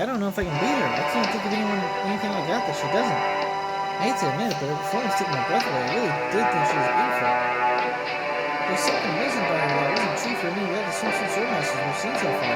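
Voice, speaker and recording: A man's voice: fairly high pitch, close to an everyday voice, rough recording. A man voicing an earnest, self-doubting sword fighter in a video game, blunt, hot-headed and colloquial. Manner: colloquial, candid.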